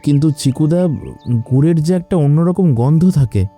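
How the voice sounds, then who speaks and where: A man voices a timid, honest village helper in a cartoon. The tone is very muffled-dark and relaxed, slightly high, with a hesitant, worried, questioning delivery. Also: a little fast, faint music behind, close to an everyday voice. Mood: worried doubt. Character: timid, honest, doubtful young village sidekick. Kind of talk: animated cartoon dialogue.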